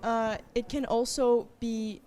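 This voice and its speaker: A young woman student answering interview questions, thoughtful and measured, pausing often. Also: fairly high pitch, level tone, mellow. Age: young adult. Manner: thoughtful, with frequent pauses and fillers.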